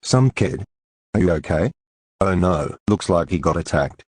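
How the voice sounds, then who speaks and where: Man as male field reporter for a mock news drama, low-pitched, with clear noise on the recording. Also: lively intonation, unhurried. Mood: alarmed. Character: male news reporter, alarmed about a monster. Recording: noisy, clicky recording.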